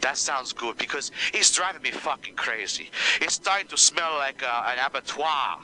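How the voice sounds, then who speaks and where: A man voicing an exasperated female Spanish teacher who swears, complains and uses broken grammar, with a low voice for a woman. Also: expressive, moderate pace, pushed and bright, a little hiss or hum, poor audio. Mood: complaining. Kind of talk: one-man comedy skit.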